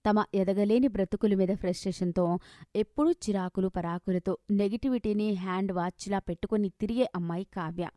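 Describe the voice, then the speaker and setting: A woman's voice: medium pitch, warm tone, no echo, clean studio sound. A woman narrator reading a scripted moral story.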